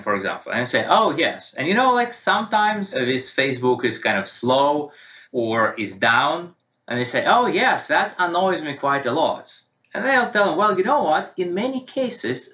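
A man's voice: a little high, moderate pace. A man from Russia with a Russian accent, a database expert telling stories in an interview and acting out quoted dialogue. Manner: animated storytelling with quoted dialogue. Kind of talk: conversational interview answer.

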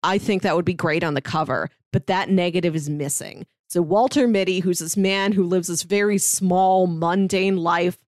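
A woman's voice: on the low side, normal speed, hardly any pauses, darkish tone, dry recording. Chatty woman cohost, enthusiastic, casual podcast talk, very dry close sound. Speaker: podcast co-host pitching movies. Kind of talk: casual chat.

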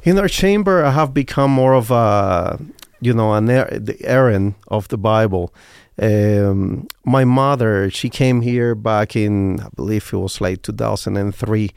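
A man's voice: fairly low pitch, a little slow, gravelly, a little mellow, smooth, no echo, clean studio sound. A man from Cuba with a Cuban Spanish accent, talking earnestly and reflectively as an interview guest. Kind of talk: interview talk.

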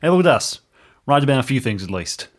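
A man's voice: mid-range voice, very animated, quick pace, pitched above the performer's own voice. A man playing an earnest new park ranger in an improvised audio drama, in a plain, conversational, questioning way. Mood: quietly pleased.

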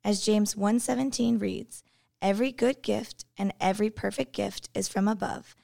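Creaky-voiced woman giving a devotion. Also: medium pitch, steady tone, normal speed, dark tone, no room sound, studio quality. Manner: earnest, reflective, storytelling. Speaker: devotion speaker, English teacher. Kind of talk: personal devotional reflection.

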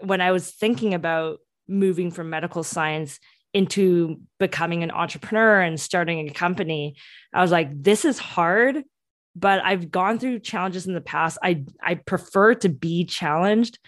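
A woman's voice: on the low side, moderate pace, no room sound, studio quality. A young woman in her twenties whose first language is Cantonese, telling her story eagerly in a podcast interview with many restarts. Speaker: entrepreneur guest.

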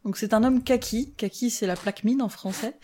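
A woman's voice: a little high, fairly quick, mellow. A woman chatting casually as a podcast guest, playful and self-deprecating. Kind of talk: casual chat.

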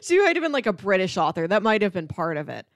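Female podcast co-host, mid-pitched, very dry sound, casual but articulate film talk. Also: very animated, fast talker, dry recording.

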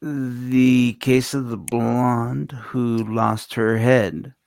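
Guy cohosting a radio show, super slow delivery, gravelly fry, dry sound. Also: mid-range voice, little pitch movement, darkish tone, no room sound. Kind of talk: casual chat.

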